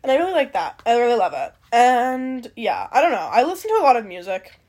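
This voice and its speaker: A woman's voice: a little high, big pitch swings, fairly quick, darkish tone. A young woman from Canada hosting a podcast alone, rambling casually with many fillers and some swearing. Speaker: podcast host. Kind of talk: casual solo chat.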